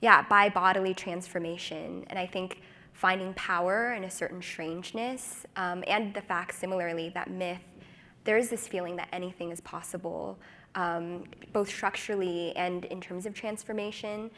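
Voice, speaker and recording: A woman on a writers' panel, eager and quick to laugh, with lots of fillers. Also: lowish voice, fairly even intonation, sharp tone. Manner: enthusiastic, laughs often, many fillers. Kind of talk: panel discussion. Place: conference stage.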